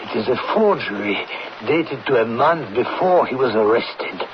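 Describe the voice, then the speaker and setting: Man acting earnest ally, assured, insistent, radio drama, medium pitch, muffled vintage sound. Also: lively intonation, normal speed, natural voice. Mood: assured, accusing. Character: earnest, persuasive male ally, firm and assured. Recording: very noisy muffled old radio recording.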